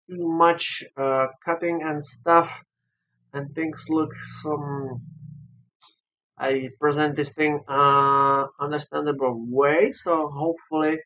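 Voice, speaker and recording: A man who is not a native English speaker gives a casual, unscripted game review, haltingly, often repeating and rephrasing himself. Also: on the high side, a bit bright, slight background noise, low-quality recording.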